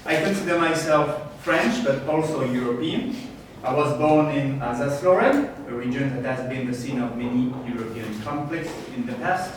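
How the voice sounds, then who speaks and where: A man interpreting a speech into English, speaking quickly and plainly with a few fillers. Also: medium pitch, slight background noise. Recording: echoey room from far off.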